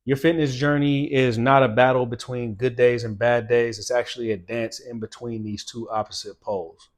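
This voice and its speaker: A man in his late thirties speaks as a fitness channel host in a motivational style. His voice sits at an average pitch for a man. He is earnest and emphatic, often repeating a phrase to drive it home. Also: little pitch movement, moderate pace. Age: late 30s. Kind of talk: motivational talk to camera.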